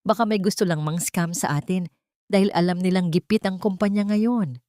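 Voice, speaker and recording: A woman's voice: fairly low pitch, normal speed, mellow, no room sound, natural voice. A guarded, uneasy heir as a woman narrator plays him. He explains things dismissively to his mother in a dry-sounding audio melodrama. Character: Risky, wealthy adult son, uneasy but dismissive.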